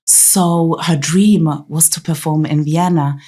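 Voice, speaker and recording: A woman from Austria, a podcast guest, telling her family's story in halting speech with many pauses. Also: deep voice, fairly even intonation, dark tone. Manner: halting, many ums, laughs easily.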